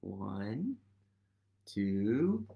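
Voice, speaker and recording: A man in his thirties reading a picture book aloud to young children, expressive and full of questions for his listeners. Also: fairly low pitch, drawn-out words, creaky, phone-quality sound. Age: 30s. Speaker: host, museum literacy educator reading aloud. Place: indoors, small quiet room at home.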